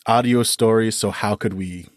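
A man with a fairly low voice talks as a guest on a podcast. He explains his thoughts conversationally, articulate and enthusiastic, in a dry, close-sounding recording. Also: fairly even intonation, normal speed, no echo. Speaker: storyteller and podcast creator.

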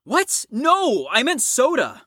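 A woman's voice: sits high, wildly swooping pitch, takes it slowly, fairly bright tone, breathy edge, dry recording, clean studio sound, exaggerated voice. A woman playing a brash, crude, flippant psychiatric patient in an audio drama, with a theatrical, swaggering manner. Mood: cocky, flippant.

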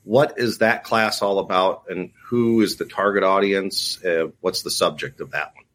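A man with a fairly low voice hosts a podcast. He sets up subjects and puts questions to the other speaker. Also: steady tone, normal speed, fairly bright tone. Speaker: podcast host asking questions. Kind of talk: interview questioning.

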